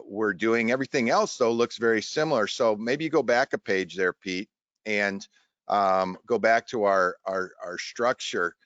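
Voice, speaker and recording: A man hosts an informal technical webinar in a mid-pitched voice. He rambles and halts as he goes. The sound is dry and slightly dull, like streamed call audio. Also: fairly bright tone, dry recording. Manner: halting, rambling delivery. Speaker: host of a show tech webinar. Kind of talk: casual tech discussion. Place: online video call.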